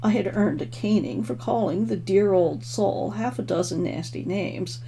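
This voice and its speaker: A woman's voice: mid-range voice, moderate pace, mellow. A woman author reading her own first-person novel expressively, as a storyteller. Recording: clearly noisy home recording.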